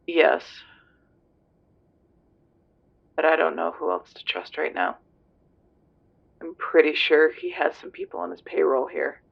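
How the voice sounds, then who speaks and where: A man's voice: on the high side, sing-song, moderate pace, vocal fry, heavily acted. A man playing a tough, serious police investigator on a phone call in a roleplay audio drama, terse and grave. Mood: worried, secretive. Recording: dull lo-fi phone-call sound.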